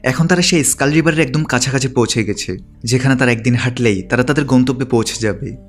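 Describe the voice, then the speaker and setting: A man reading a scripted story recap in a steady, continuous storytelling manner. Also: mid-range voice, fast, soft background music. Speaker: movie recap narrator. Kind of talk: scripted narration, plot recap.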